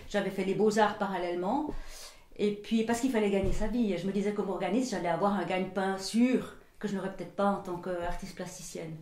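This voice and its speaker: A woman in her sixties, from Belgium, speaking as a visual artist in a portrait interview, thoughtful and searching for words, her sentences often trailing off softly. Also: mid-range voice, fairly even intonation, a little fast, reverberant, slight background noise. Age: 60s. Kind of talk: reflective documentary portrait interview.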